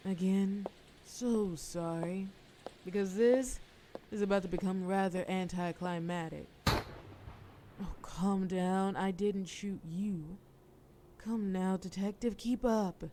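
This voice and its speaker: Woman acting as mafia boss, unhurried, mellow tone, wry, coolly flirtatious, wordy, audio roleplay, faint noise. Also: lowish voice, close to an everyday voice. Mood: flirty, wry. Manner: wry, wordy, teasing formality.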